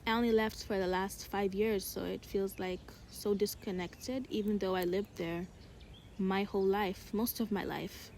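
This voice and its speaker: A woman's voice: medium pitch, fairly even intonation, normal speed, a little mellow, a little hiss or hum. A young woman, in her teens, with an American accent, reflective and casual, co-hosting a podcast chat with many filler likes. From Uganda. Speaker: podcast co-host.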